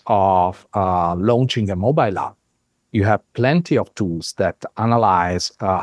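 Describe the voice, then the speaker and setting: Italian-accented man, low voice, measured. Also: no room sound, clean studio sound. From Italy. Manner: measured, with frequent "uh" fillers. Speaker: guest, software founder. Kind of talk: conversational explanation.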